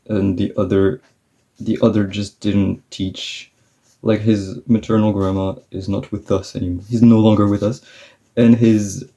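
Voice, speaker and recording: A man's voice: on the low side, gravelly, warm tone, highs cut off. A young man chatting in a rambling way with fillers and laughs while he draws for his vlog. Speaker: vlogger. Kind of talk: casual chat while drawing.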